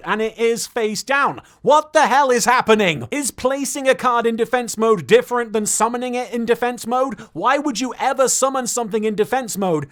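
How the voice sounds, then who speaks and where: Man, high-pitched voice, comic recap narration, wry, sarcastic, scripted. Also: a bit bright. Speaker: host of an anime recap series.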